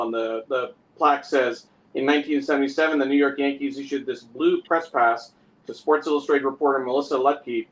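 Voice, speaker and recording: A man's voice: mid-range voice, a bit bright, low-quality recording. A man, a co-host casually explaining and reading aloud.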